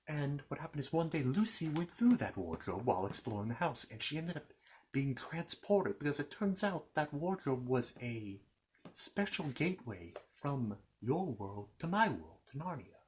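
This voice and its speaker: A man performs a courteous, fussy keeper of storybook histories in an ASMR roleplay. His mid-pitched voice rises and falls widely as he rambles, hesitates and repeats himself apologetically. Faint noise sits behind the voice. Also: big pitch swings, moderate pace, lightly acted. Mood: storytelling. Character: fussy, courteous Narnian bookkeeper recounting his world's history.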